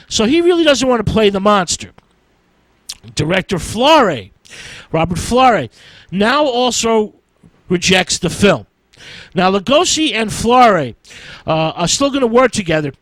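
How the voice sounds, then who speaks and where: Guy w a pretty high voice doing an informal film history lecture, choppy stop-start phrases, dry sound. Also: lively intonation, fairly bright tone, no room sound, rough recording. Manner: halting, choppy delivery in short phrases. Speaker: host of a film history show. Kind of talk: informal lecture-style storytelling from notes.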